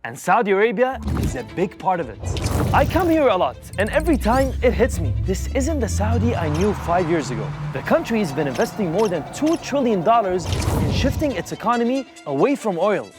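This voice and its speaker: Thirties male host, animated persuasive narration, music underneath. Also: high voice, brisk. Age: 30s. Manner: upbeat, persuasive storytelling. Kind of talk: scripted narration.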